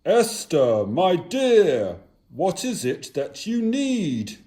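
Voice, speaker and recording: Guy doing a puppet show king, really slow and dramatic, kinda high swoopy voice. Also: wildly swooping pitch, dark tone, full character voice. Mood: grand, attentive. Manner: theatrical, ponderous. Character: pondering, dramatic male king of ancient Persia.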